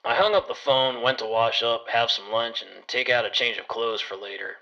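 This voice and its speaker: A man playing a private detective who narrates his case in a measured, matter-of-fact way. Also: medium pitch, vocal fry, pushed and bright, lo-fi sound. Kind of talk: first-person detective story narration.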